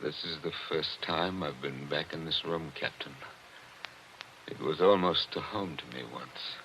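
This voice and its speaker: An actor playing a brooding attorney who narrates wistfully in a 1940s radio mystery. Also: male voice, measured pace, sharp tone, a little hiss or hum, full character voice. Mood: reflective. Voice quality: low, reflective, wistful. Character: brooding middle-aged male attorney narrator. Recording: dull old radio recording with music.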